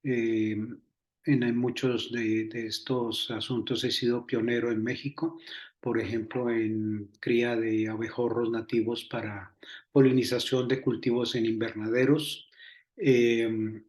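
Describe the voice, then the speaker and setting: Older man, measured, hesitant scientist guest. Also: medium pitch, little pitch movement, a little mellow, echoey room. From Colombia. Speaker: entomologist guest. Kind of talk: interview answers.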